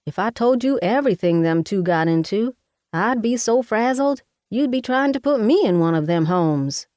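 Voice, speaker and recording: A woman's voice: medium pitch, lively intonation, normal speed, a little mellow, no echo, clean studio sound, voice pushed up, natural voice. A woman voicing a fussy, outspoken older mother, talking in a folksy, scolding way. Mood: fussing.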